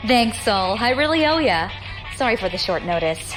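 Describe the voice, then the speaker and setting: A woman's voice: on the high side, very animated, normal speed, over music. Brash young female space pirate. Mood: breezy, friendly. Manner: slangy, casual buddy talk. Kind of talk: audio drama acting.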